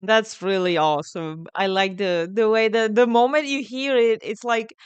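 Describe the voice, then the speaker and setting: Smooth-voiced Italian-accented woman reacting to music. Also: mid-range voice, steady tone, no echo, lo-fi sound. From Italy. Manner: enthusiastic, halting, repeats words while thinking. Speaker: music reaction video host. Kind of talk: casual spoken music reaction and commentary.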